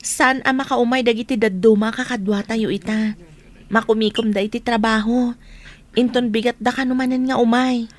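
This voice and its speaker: A woman's voice: a little high, steady tone, moderate pace, close to an everyday voice. A woman playing a caring, sympathetic neighbour offering comfort in a radio drama. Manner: sympathetic, encouraging. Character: Marcy, caring younger neighbour consoling a widow.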